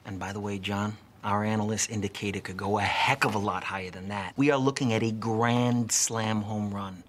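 An actor playing a hard-selling penny-stock pitchman, delivering a hyped, persuasive sales pitch in a fairly low voice. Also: steady tone, moderate pace, slight background noise, natural voice. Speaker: stock salesman in a film clip.

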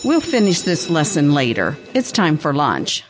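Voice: mid-range voice, wildly swooping pitch, normal speed, background noise. A female performer playing a schoolteacher keeping order in class in an amateur radio play. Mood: firm.

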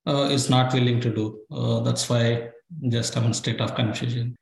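Halting man on an echoey call. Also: medium pitch, fairly even intonation, vocal fry. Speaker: caller. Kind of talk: hesitant question asking. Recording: echoey conference call line.

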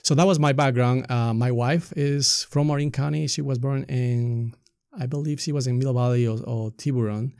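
A middle-aged man with an Ecuadorian Spanish accent speaks in an interview. His delivery is level and unanimated, with a soft, dark tone and a very dry, close sound. He explains his points conversationally. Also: mid-range voice, steady tone, moderate pace, hardly any pauses, clean tone, dry recording. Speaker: podcast guest, community activist and soccer coach.

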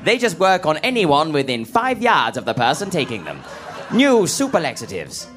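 A pushy advert announcer sells his product in a high voice that swoops up and down, as a man acts him out. He is upbeat and salesy in this comic audio sketch. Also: wildly swooping pitch, normal speed, a bit bright, soft background music.

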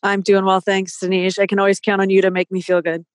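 A woman's voice: mid-range voice, little pitch movement, fast, dry recording. Female podcast co-host, dry close sound. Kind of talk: casual conversational interviewing.

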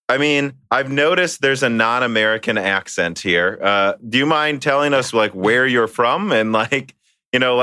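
A man hosting an interview, asking questions in a quick, chatty way and laughing partway through his sentences. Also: medium pitch, bright, forceful tone, dry recording, studio quality. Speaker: interviewer, host. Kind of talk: casual interview.

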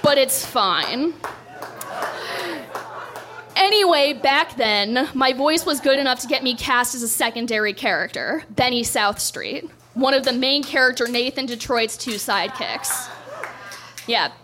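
A woman's voice: high-pitched, expressive, measured pace, noisy background. Dry self-mocking stand-up woman. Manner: dry, self-deprecating storytelling. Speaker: stand-up comedian. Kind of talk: stand-up set.

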